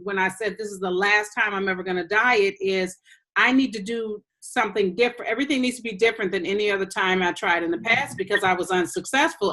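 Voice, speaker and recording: A woman hosting a podcast as a health coach, talking in an enthusiastic, unhurried way. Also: mid-range voice, a bit bright. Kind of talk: casual podcast chat.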